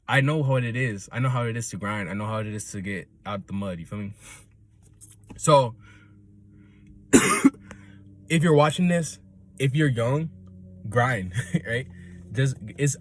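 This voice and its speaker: A man in his twenties talking off the cuff in a loose, rambling monologue, chuckling often and checking in with the listener. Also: medium pitch. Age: 20s. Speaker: solo talker.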